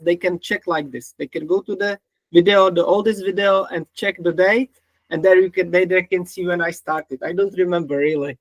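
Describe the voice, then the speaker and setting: Czech-accented man hosting, slightly high voice. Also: no echo, poor audio. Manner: repeats himself, simple phrasing. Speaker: podcast host. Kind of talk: casual interview talk.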